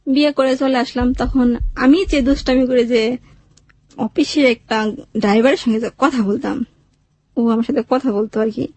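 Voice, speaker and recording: Bangladeshi woman telling her life story, pretty high voice, everyday wording, really dry sound. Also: fairly even intonation, moderate pace, darkish tone, no echo, low-quality recording. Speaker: interview guest telling own life story. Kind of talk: personal storytelling, recounting with quoted dialogue.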